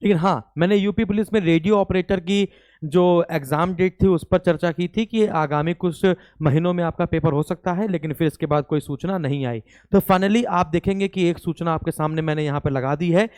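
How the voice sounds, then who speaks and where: A man speaks as an online coaching teacher in a crisp, clean voice. The recording is close and dry. He explains informally to students, repeating key phrases and checking that they follow. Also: on the high side, normal speed, a little mellow, no room sound. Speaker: teacher and presenter of an exam-coaching channel. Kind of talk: informal exam news update to students.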